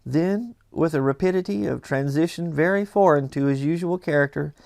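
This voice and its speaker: A man reading a classic story aloud as expressive scripted narration. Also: a little high, normal speed, creaky, no room sound, clean studio sound. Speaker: audiobook reader.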